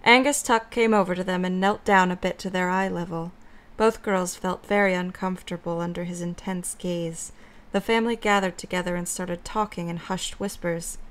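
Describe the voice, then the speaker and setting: A woman's voice: lowish voice, fairly even intonation, moderate pace. A woman reading scripted story narration as the narrator of an audio drama.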